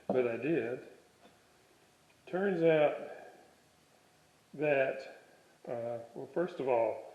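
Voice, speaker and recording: A man giving a casual hands-on workshop demonstration, halting and thinking aloud as he works. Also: medium pitch. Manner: musing aloud, halting, thinking while working. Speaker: hobbyist presenter of a restoration video series. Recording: dull, roomy home workshop recording.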